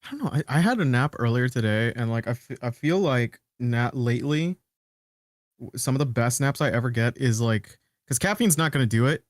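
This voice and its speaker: A man's voice: mid-range voice, lively intonation, fairly quick, no echo, clean studio sound. A man chatting casually as a podcast co-host, joking in a wry, slangy way with some swearing.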